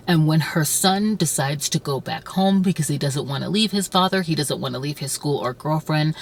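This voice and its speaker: A woman's voice: low voice, slightly rough. A woman in her thirties chatting casually and effusively about books as an enthusiastic vlogger. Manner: gushing, effusive. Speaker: book reviewer vlogger. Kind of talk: casual, enthusiastic book review chat.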